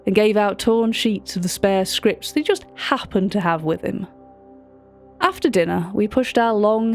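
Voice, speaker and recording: An actress playing a chatty, wry British gallery writer, telling a story directly to a listener in audio drama narration. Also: female voice, mid-range voice, darkish tone, close to an everyday voice.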